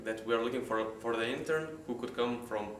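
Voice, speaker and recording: Give me a relaxed man pitching an internship, with an echoey, distant sound. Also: brisk. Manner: relaxed, with small restarts and light laughs. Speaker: internship organizer. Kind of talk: casual promotional pitch. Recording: echoey room, far from mic, faint noise.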